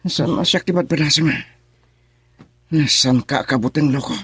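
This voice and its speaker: A man's voice: medium pitch, normal speed, a bit bright, breathy edge, no room sound, clean studio sound. A man acting a cold, taunting schemer in a radio drama, speaking in a menacing way.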